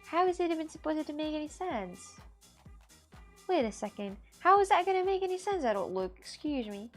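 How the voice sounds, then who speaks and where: A woman's voice: high voice, very animated, brisk, soft background music. A woman from Russia in her twenties, chatting casually and animatedly on a live stream, often repeating herself.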